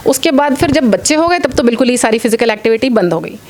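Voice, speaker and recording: Woman in her late forties, higher-pitched voice. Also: animated, fast talker, darkish tone, slight background noise. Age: late 40s. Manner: earnest, mixes English phrases into speech. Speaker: interview guest, athlete and former air force officer. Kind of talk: interview answers.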